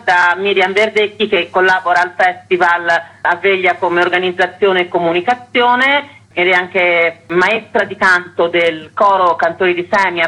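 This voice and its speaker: A woman's voice: on the low side, a bit bright, low-quality recording. A woman festival director giving interview answers and running through a programme. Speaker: festival artistic director, guest.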